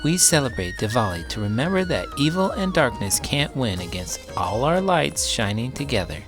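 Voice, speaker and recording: A man voicing a shy, earnest young girl in a children's storybook, with a gentle manner. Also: mid-range voice, sing-song, moderate pace, pitched above the performer's own voice. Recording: music playing behind the voice.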